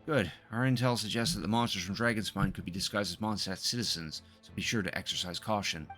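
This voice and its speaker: A man with a low voice live streaming a game, reading the dialogue aloud and stumbling now and then before correcting himself. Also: fast talker, a bit bright. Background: game music.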